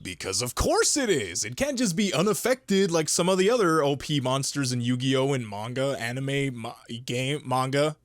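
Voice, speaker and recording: A man doing comedic scripted commentary as a review host, in an animated, playful manner. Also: mid-range voice, moderate pace, hardly any pauses, dry recording, clean studio sound. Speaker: host and narrator of a comedic manga review series.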